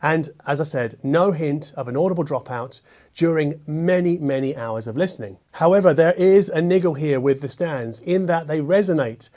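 A middle-aged man hosts a product review in a conversational way. His voice sits a little high for a man. He phrases things with measured emphasis and drops in casual asides. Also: dark tone. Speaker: host, audio gear reviewer. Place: furnished living room with acoustic panels, indoors.

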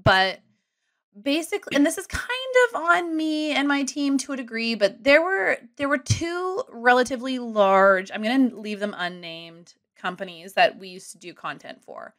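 A woman in her thirties telling a long story casually on a podcast from a small home room, animated and rambling. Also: a little high, normal speed. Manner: animated, rambling, self-deprecating. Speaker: guest comedian and ski content creator. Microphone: studio mic close up with headphones.

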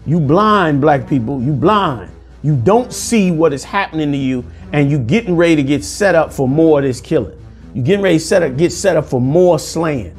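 A man in his forties preaching commentary over news clips, forceful and sermonizing, calling listeners "man", recorded indoors on a lapel mic. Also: on the high side, expressive, normal speed, soft background music. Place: indoor room.

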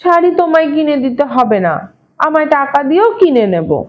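A woman's voice: high-pitched, big pitch swings, moderate pace, muffled recording, pitched above the performer's own voice. A woman voicing a cheeky, demanding young servant girl in a storybook reading, pert and insistent. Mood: defiant.

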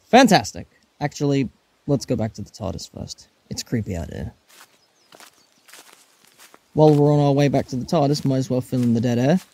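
A man's voice: mid-range voice, expressive, moderate pace, pauses often, mellow. A man playing an eccentric, persistent time traveller in a fan audio drama, flippant yet earnest and reassuring. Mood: upbeat, quirky.

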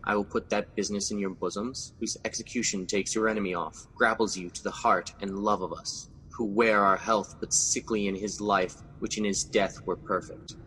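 A man's voice: on the low side, fairly even intonation, normal speed, few pauses, pushed and bright, in a large hall, slight background noise, low-quality recording. An actor playing Macbeth, a guilt-ridden scheming king, in a classic radio drama. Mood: commanding. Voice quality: brooding, intense.

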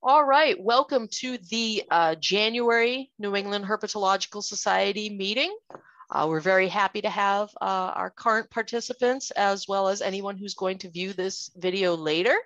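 A woman opens an online meeting with a friendly, casual introduction that has plenty of fillers. Her pitch sits in the middle range. The recording is somewhat dull and lo-fi, with a dry sound and no room echo. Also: moderate pace, a bit bright. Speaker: meeting host.

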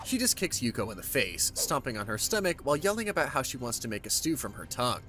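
A man reads a story recap with an animated, up-and-down delivery. His pitch sits in a middle range, and he sounds casual and wry, like a scripted narrator adding asides. Light music plays underneath. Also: quick pace.

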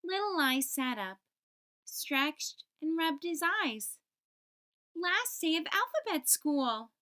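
High-voiced woman reading slowly to children. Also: sing-song, sharp tone. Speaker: storytime host. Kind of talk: children's picture book read-aloud.

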